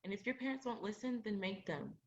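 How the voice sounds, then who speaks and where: A young woman acting in a student play as a caring, supportive best friend, gentle in manner. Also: medium pitch, fairly quick, natural voice. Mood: gentle, reassuring. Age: young adult. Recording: dull lo-fi audio.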